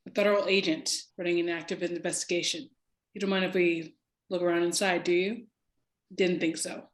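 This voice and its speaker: A woman's voice: fairly low pitch, steady tone, fairly quick, lightly acted. An actress playing a brusque, dry female federal agent in a scripted drama, curt and authoritative. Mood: curt, wry. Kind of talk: scripted table-read drama acting. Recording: echoey room.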